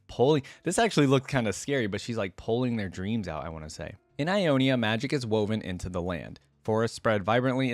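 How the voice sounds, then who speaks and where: A man's voice: lowish voice, dry recording. A man hosting a reaction channel, talking casually and reading aloud as he comments. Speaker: host of a game lore reaction channel.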